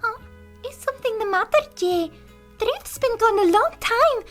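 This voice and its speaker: A woman voicing a plucky, excitable young goddess with an eager, bubbly manner. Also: very high-pitched, moderate pace, faint music behind, voice pushed up.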